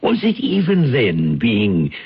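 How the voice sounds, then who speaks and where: A husky, theatrical old count, wildly animated. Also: male voice, on the low side, takes it slowly, full character voice. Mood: insistent, portentous. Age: older. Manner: theatrical, florid, coaxing. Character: smooth-talking, grandiose elderly bachelor count, persuasive and paternal. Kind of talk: old-time radio drama acting. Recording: dull lo-fi radio recording.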